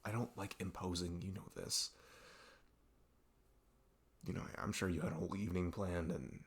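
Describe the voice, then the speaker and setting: A man acts out a troubled best friend in an intimate ASMR audio roleplay. His voice is very low and full of fry. He speaks haltingly and apologetically, stammering and sighing as he goes. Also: fairly even intonation. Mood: apologetic, reluctant.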